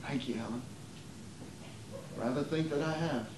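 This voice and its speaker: A man's voice: fairly high pitch, measured pace, lightly acted. A man playing a wistful, nervous aging would-be actor, stammering and weary, in an amateur stage play. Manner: hesitant, stammering, repeating words. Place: theater during a rehearsal. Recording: distant, echoey, noisy rehearsal tape.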